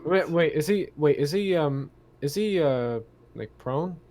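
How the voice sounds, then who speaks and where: A man chatting casually as a player in a tabletop game, halting and tentative. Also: on the high side, lively intonation, a little mellow.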